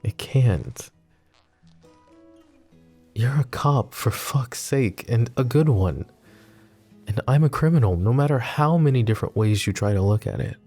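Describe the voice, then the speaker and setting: A man voicing a wry, tender mafia boss in an ASMR roleplay, soft-spoken and teasing. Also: mid-range voice, lively intonation, a little slow, long pauses, soft background music. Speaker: voice actor.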